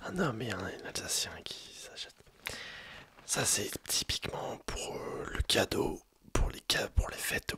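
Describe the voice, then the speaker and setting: Breathy whispered ASMR shopkeeper. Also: mid-range voice, pushed and bright. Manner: whispering, hesitant, improvised sales patter to the listener. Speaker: ASMR performer playing a coffee roastery shopkeeper.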